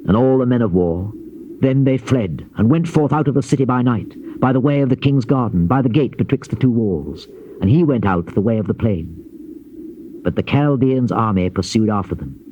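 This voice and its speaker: A man giving a solemn, measured, theatrical scripted narration of scripture in a declamatory manner. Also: medium pitch, dark tone. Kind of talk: dramatized Bible reading, theatrical scripted narration. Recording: dull lo-fi cassette with noise.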